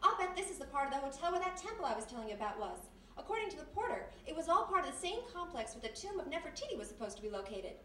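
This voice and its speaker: A brassy wisecracking dame played by a woman in a 1940s-style radio mystery. She is high and quick, with snappy, slangy sass. The live stage recording is quite echoey. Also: big pitch swings, bright, forceful tone, exaggerated voice. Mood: curious. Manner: sassy, slangy, snappy delivery. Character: brassy, wisecracking young female former vaudevillian sidekick. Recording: echoey live stage, distant, faint noise.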